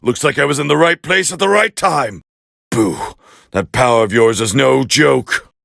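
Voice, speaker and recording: A man voicing a proud, scornful alien warrior prince as battle commentary in an anime fighting game, with a harsh, gravelly growl and a haughty, clipped delivery. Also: mid-range voice, expressive, moderate pace, a bit bright, dry recording, studio quality, heavily acted. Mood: grudgingly impressed.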